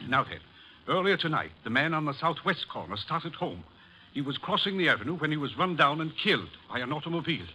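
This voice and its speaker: A man's voice: a little high, big pitch swings, brisk, bright, forceful tone, full character voice. An actor playing a wealthy, frightened, impatient man in a 1950s radio drama, speaking in a stiff, formal, insistent way. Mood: tense, earnest. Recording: faintly noisy old radio recording.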